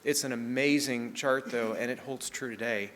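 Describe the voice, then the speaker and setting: A man with a New Zealand accent giving a brisk technical conference talk, with frequent "uh" fillers. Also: mid-range voice, steady tone, a bit bright. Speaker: conference speaker on satellite access. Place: large conference hall. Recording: reverberant hall, faint ambient noise.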